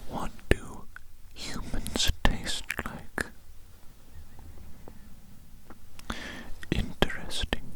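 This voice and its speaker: A performer voicing a polite, eerily eager alien posing as a friendly doctor, in a breathy, repetitive, coaxing whisper for an up-close ASMR roleplay. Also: lightly acted, low-quality recording. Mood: musing, coaxing. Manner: slow, repetitive, formal and coaxing. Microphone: very close microphone.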